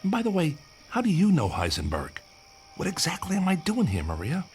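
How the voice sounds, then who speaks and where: An actor playing a skeptical, sarcastic writer who is rattled and argumentative, in an audio drama. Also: male voice, high-pitched, huge pitch swings, a little fast, slight background noise. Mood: agitated, accusing. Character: skeptical, rattled male author writing about UFOs.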